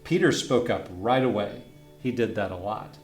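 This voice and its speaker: A man's voice: on the low side, expressive, moderate pace. A man reading his own children's book aloud as a friendly storyteller, stopping to ask young listeners questions. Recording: roomy echo with faint guitar music.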